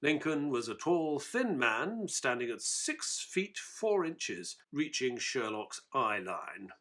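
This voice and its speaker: Man acting as earnest Victorian gentleman narrator, bright forceful tone, animated delivery, audio play. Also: medium pitch, slow pace. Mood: earnest storytelling. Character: earnest Victorian gentleman doctor recounting a case.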